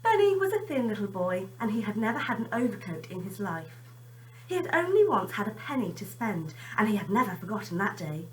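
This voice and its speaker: A woman's voice: medium pitch, very animated, fairly quick, recorded from a distance, a little hiss or hum, poor audio. A woman teacher chatting informally to children and reading them a story expressively.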